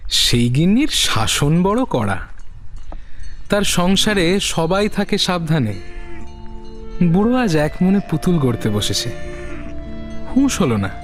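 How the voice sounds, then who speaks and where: A man narrating a literary short story as a scripted audio reading. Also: a little high.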